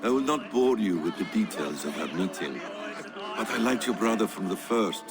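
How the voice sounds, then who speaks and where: Game assassin master male actor: courteous, philosophical, fairly low voice with lively intonation, music underneath. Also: normal speed, lightly acted. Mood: reflective, courteous. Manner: eloquent, philosophical. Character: courteous, measured master of a secret assassin brotherhood. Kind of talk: video game cutscene acting.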